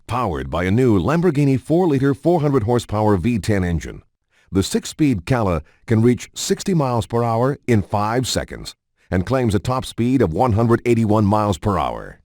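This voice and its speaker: A man's voice: fairly low pitch, sing-song, normal speed, darkish tone, no echo, studio quality. A man reads scripted promotional narration for a racing game in a polished announcer style. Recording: music behind the voice. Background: background music.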